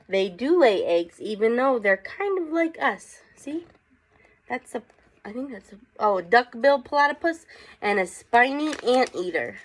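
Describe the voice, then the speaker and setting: A woman teacher reading aloud to children, with chatty asides and questions for her young listeners. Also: on the high side.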